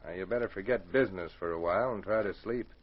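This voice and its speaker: A man's voice: deep voice, fast, noisy background, close to an everyday voice. An actor in a 1940s radio drama playing a frank, resigned accused man, plain-spoken and wry. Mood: frank, insistent. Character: frank, resigned accused robber protesting his innocence. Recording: muffled 1940s radio recording.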